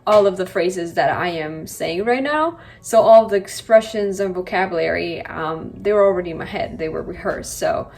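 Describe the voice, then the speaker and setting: A woman's voice: fairly low pitch, moderate pace, few pauses, a little mellow. Chatty young Colombian woman vlogger. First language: Spanish. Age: 20s. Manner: chatty, many likes and you-knows. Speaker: language vlogger. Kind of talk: casual chat to camera.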